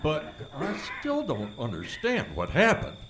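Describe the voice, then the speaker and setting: A man's voice: on the high side, wildly swooping pitch, a little slow, noisy background. A man playing an earnest, befuddled, lovesick small-town sheriff in a comic radio play, performed live on a theater stage.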